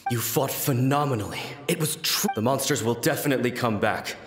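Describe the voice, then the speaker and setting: A man's voice: medium pitch, a little fast, natural voice. A man playing a brave, loyal samurai soldier in a video game, dutiful and declarative, with a roomy echo. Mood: resolute.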